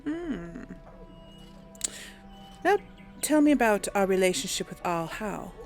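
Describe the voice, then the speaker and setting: Unhurried, creaky-voiced woman gamer. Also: medium pitch, very animated, faint music behind. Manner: musing aloud, chatty, informal. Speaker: host of a role-play gaming video series. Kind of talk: casual game commentary mixed with in-character dialogue.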